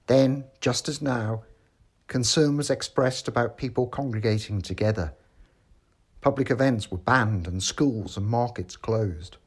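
A man reads a scripted essay in a measured, formal way. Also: mid-range voice, husky. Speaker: article reader. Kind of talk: scripted narration, read essay.

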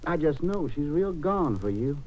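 A male actor playing a polite, slow-witted, lovesick crook in a 1950s radio drama, folksy, earnest and apologetic. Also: fairly high pitch, sing-song, darkish tone, a little hiss or hum. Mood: mildly menacing. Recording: dull old radio recording.

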